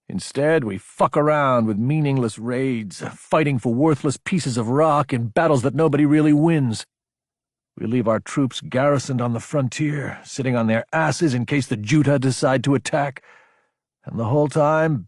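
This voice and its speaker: A man's voice: medium pitch, darkish tone, husky, no echo, studio quality. A man voicing an intense, anguished young military officer in an audiobook, insubordinate and emphatic. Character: young male military officer, fierce, anguished, self-doubting. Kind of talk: audiobook character acting.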